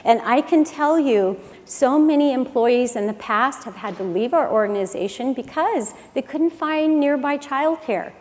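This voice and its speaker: A woman with a fairly high voice speaks earnestly and upbeat, at times restarting a phrase. Her words ring out through a large conference hall with a long echo. It sounds like a prepared talk from a stage. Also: expressive, unhurried, few pauses, darkish tone. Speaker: employer presenting at a conference. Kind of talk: prepared conference talk, then answering a question.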